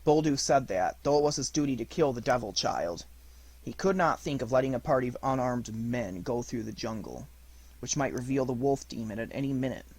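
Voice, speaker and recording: Guy reading an audiobook story, normal mid pitched voice, narrator style. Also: darkish tone, slightly rough, rough recording.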